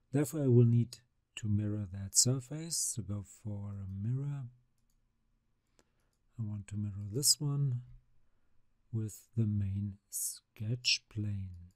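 A man, narrating a screen-recorded software tutorial step by step, calmly describing each click. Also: fairly low pitch, no room sound. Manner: unhurried, step-by-step narration of mouse actions. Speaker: CAD software instructor.

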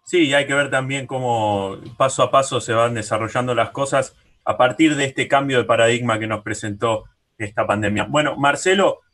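A man's voice: medium pitch, normal speed, fairly bright tone. An Argentine man hosting a radio interview in a conversational style.

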